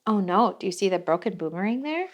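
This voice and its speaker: A woman reading a picture book aloud for children in an expressive way, pausing to put questions to young listeners. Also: mid-range voice, a little hiss or hum. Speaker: children's read-along host. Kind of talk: animated picture-book storytelling.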